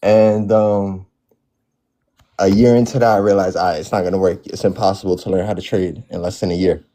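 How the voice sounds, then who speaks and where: A man's voice: low voice, steady tone, fairly quick, dark tone. Laid-back, slangy man in his twenties. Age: 20s. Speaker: caller. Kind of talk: casual chat.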